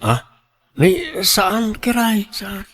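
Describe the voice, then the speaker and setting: A man's voice: sits high, animated, very slow, fairly bright tone, exaggerated voice. An actor playing a humble, insecure young working man in love, earnest and hesitant, in an Ilocano radio drama. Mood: nervous.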